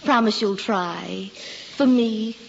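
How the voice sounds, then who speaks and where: A prim American schoolteacher, earnest and admonishing. Her voice has some creak, and she speaks in 1940s radio drama style. The sound is dull and carries a long reverb. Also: medium pitch, slow pace. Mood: earnest, coaxing. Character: earnest, gently scolding female schoolteacher. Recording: dull, reverberant, noisy old radio recording.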